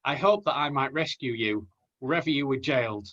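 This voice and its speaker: A man's voice: medium pitch, fairly quick, fairly bright tone, natural voice. A man playing an earnest young pantomime hero, declaiming rhymed lines over a video call. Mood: rueful. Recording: dull lo-fi video call audio.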